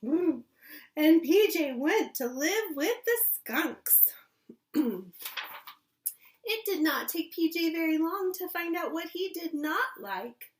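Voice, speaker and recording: A woman kindergarten teacher reading a storybook aloud expressively, pausing to ask young listeners questions. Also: sits high.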